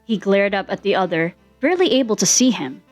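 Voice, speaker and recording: A woman's voice: mid-range voice, expressive, brisk, faint music behind. A woman reading fan fiction aloud as the narrator, in a scripted storytelling style.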